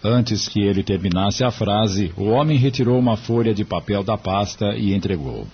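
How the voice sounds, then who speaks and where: A man narrates an audio novel in a very husky, fairly low voice. His scripted reading moves expressively up and down in pitch. The recording sounds dull and lo-fi. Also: expressive, normal speed.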